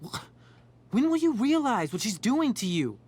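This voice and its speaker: An actor voicing a jealous, worried teenage boy in a video game, pleading and accusing in an emphatic, drawn-out way. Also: extremely high pitch, very animated, a little mellow, breathy edge, full character voice. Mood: hurt, agitated.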